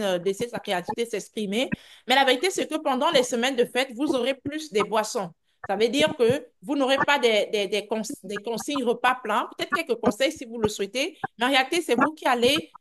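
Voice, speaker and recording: A woman's voice: fairly high pitch, fairly bright tone. A woman coach answering questions on a group video call, often repeating words and restarting her sentences as she reassures. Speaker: health and weight-loss coach.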